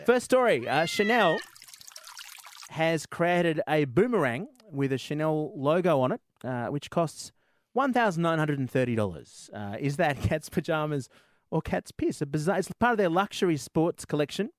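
Amused game host, lively pitch swings, close dry studio mic. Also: male voice, moderate pace, no room sound. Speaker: radio show host. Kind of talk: hosting a comedy radio game.

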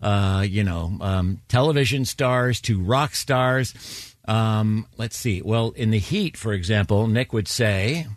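A man's voice: on the low side, slow pace, no room sound. A man hosting a radio talk show, telling stories and laughing often. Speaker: radio host. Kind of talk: casual radio chat.

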